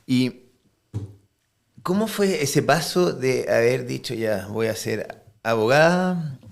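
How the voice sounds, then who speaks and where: A man's voice: mid-range voice, expressive, takes it slowly, vocal fry. A man hosting a podcast interview, chatty and enthusiastic, with frequent stammered restarts. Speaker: podcast host. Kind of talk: conversational interview.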